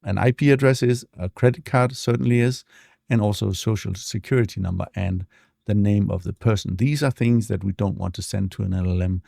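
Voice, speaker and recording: A man walking through a software demo in a relaxed, explanatory way, with frequent fillers, speaking close to a desk mic. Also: fairly low pitch, brisk, creaky, no echo. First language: not English. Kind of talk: screen-share tutorial walkthrough.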